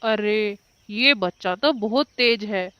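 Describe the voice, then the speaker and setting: A woman's voice: mid-range voice, slow, fairly bright tone, smooth, no echo. A woman voicing a cunning, greedy male kite, a scheming predator bird in a children's cartoon. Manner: scheming, exclamatory. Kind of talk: children's cartoon story acting.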